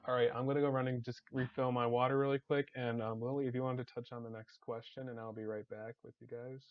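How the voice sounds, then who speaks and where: A man hosting a casual online community discussion, hesitant with many ums and restarts, his voice slightly raspy. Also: medium pitch, level tone, fast talker, phone-quality sound. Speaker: community staff host.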